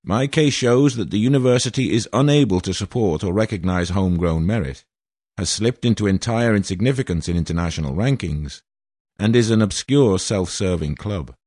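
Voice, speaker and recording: A man's voice: fairly low pitch, a little mellow, no echo, studio quality. A man in his sixties from Wales reading a formal, aggrieved statement in a grandiose manner. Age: 60s. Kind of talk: prepared statement read aloud.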